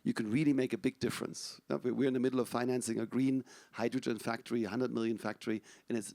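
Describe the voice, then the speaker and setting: Man, panelist, mid pitch, emphatic, wry, dry sound. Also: fairly even intonation, fast, creaky, mellow, dry recording. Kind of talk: panel discussion.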